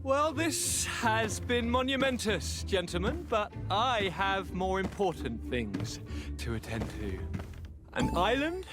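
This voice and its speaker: Flamboyant, high-voiced young nobleman. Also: very animated, takes it slowly, over music, exaggerated voice. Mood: pompous. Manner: grandiloquent, dramatic. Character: flamboyant, theatrical young male noble governor, boyish and cocky. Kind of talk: video game cutscene acting.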